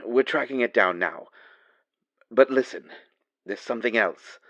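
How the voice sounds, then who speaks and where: A man's voice: mid-range voice, measured pace, fairly bright tone, dry recording, muffled recording. A man playing a worried, secretive ally in an audio roleplay, speaking urgently and dramatically as if leaving a phone message. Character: urgent, worried male ally delivering a grave warning.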